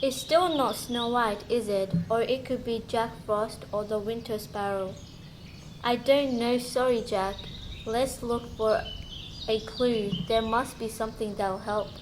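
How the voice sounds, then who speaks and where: A woman's voice: fairly high pitch, steady tone, unhurried, natural voice. A female performer playing an eager, curious girl lost in a storybook in an amateur school radio play. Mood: puzzled. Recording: noisy, birdsong and ambience behind.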